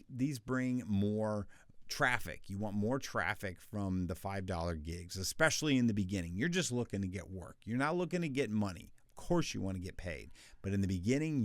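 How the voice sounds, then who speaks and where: A man with a deep bass voice, chatting through freelance voiceover tips in a casual, conversational way. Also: dry recording, clean studio sound. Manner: chatty, conversational, with restarts and asides. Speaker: voice actor teaching freelancers. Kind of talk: casual tutorial talk.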